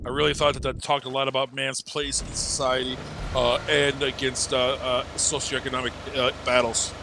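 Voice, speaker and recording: A man's voice: mid-range voice, moderate pace. A man commentating a live esports match, sick with a stuffed nose that leaves him sounding nasal, rambling and joking with lots of fillers. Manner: rambling and jokey, many fillers and restarts. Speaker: esports caster. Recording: steady game noise behind the voice.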